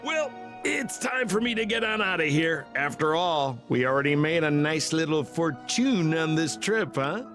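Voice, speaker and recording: A man's voice: on the high side, big pitch swings, fairly bright tone. A man playing a sly, theatrical scam artist in a video game, breezy and smarmy, with a glib, wheedling way of stretching words. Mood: breezy, chatty. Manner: glib, wheedling, drawn-out emphasis. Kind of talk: video game character dialogue. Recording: game music behind the voice.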